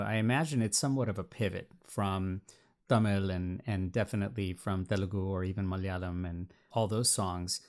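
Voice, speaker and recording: A man's voice: fairly low pitch, a little mellow. A man hosting a podcast, asking long, winding questions with frequent restarts and repeated words. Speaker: podcast host. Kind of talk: interview conversation.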